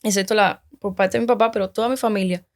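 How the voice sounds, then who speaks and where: Cuban woman in her 30s telling her story, gravelly voice, halting, lots of restarts. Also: medium pitch, steady tone. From Cuba. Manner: hesitant, halting, with many restarts. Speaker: guest. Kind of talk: personal testimony in casual conversation.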